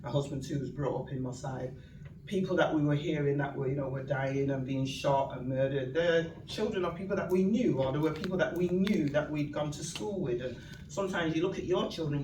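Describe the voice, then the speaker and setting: A woman's voice: deep voice, background noise. A woman in her fifties with a Mancunian accent, speaking as a guest at an event. She tells her story in a chatty, humorous way and laughs often. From Manchester, England. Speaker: guest speaker, community activist. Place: event venue with an audience. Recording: echoey room, distant mic, ambience.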